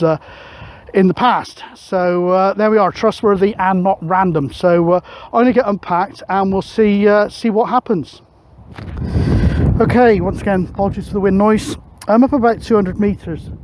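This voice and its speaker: A middle-aged man with a notably high voice talks casually to camera out in the open. He is hesitant, working out his words as he goes. Faint wind noise sits behind him. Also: darkish tone. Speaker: radio hobbyist vlogger. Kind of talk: casual outdoor vlog narration. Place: open hilltop field, outdoors. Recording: outdoor recording with wind noise.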